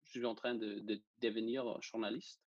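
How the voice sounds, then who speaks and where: A young man with a Danish accent, speaking casually and haltingly as he searches for words. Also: lowish voice, flat delivery, no room sound, muffled recording. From Denmark. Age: young adult. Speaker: interview guest, journalism student. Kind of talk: casual interview.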